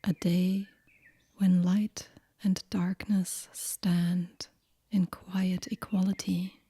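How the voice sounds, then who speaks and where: A woman guiding a yoga nidra meditation in a hushed, breathy near-whisper, gentle and soothing, with long pauses between phrases. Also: lowish voice, level tone, dry recording, studio quality. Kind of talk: guided relaxation meditation, soft and whispery.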